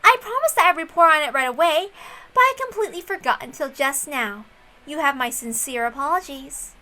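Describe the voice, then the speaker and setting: Smug young female sleuth, voiced by a woman, high-pitched, scornful and falsely courteous, music underneath. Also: lively intonation, fairly quick, sharp tone. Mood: smug, mock-apologetic.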